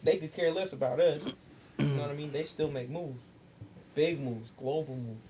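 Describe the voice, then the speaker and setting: A man's voice: on the high side, moderate pace, dark tone, rough recording. A man co-hosting a podcast in a casual chat, explaining his point insistently with lots of slang and swearing. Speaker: podcast co-host. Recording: noticeable background noise.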